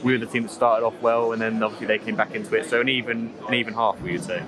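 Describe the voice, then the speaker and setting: A man's voice: fairly low pitch, steady tone, very fast, a crowd in the background, low-quality recording. A man interviewing a player after a match outdoors, asking long leading questions. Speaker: club interviewer.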